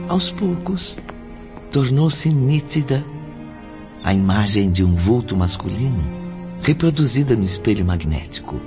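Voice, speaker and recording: A man as the storyteller of a radio play, giving dramatic scripted narration. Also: medium pitch. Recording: dull lo-fi audio under music.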